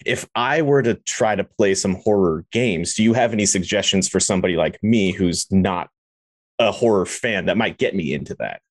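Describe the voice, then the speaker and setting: A man's voice: low-pitched, lively intonation, moderate pace, no room sound. A man hosting a podcast, interviewing in a casual, conversational way.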